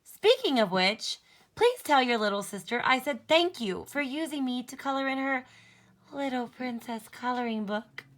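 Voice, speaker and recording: A woman's voice: high-pitched, expressive, normal speed. A woman voicing a sassy, indignant storybook crayon with a bossy, emphatic delivery. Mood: indignant, scolding. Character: sassy, indignant pink crayon scolding a boy.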